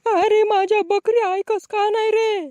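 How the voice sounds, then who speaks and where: Piercingly high nagging old villager. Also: female voice, flat delivery, unhurried, warm tone, no room sound, highs cut off. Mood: nagging suspicion. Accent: rural Marathi. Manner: nagging, scolding. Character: suspicious, nagging elderly village woman in a comic cartoon. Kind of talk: comic cartoon dialogue.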